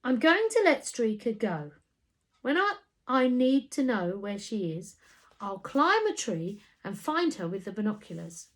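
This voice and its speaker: A woman's voice: a little high, sing-song, a little slow, rough recording. A woman voicing a wry, scheming schoolboy in a storybook, earnest and a little grumbling. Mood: eager, secretive.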